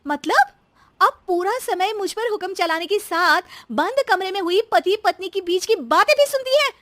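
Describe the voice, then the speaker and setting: A woman's voice: very high-pitched, animated, moderate pace, a bit bright, no echo, pitched above the performer's own voice. A woman voicing a harried, emphatic young daughter-in-law in a cartoon story. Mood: exasperated. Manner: complaining, emphatic.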